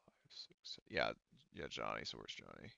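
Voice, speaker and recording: Low gravelly guy game mastering, kinda halting, thinking out loud, slightly dull mic. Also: big pitch swings, no echo. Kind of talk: casual tabletop game narration.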